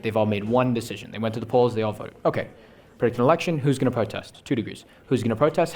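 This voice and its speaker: Young male founder, rapid enthusiastic pitch on a conference stage, keeps checking in with "right?". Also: darkish tone. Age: young adult. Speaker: startup founder on a panel. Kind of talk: fast, enthusiastic pitch talk. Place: conference stage before an audience.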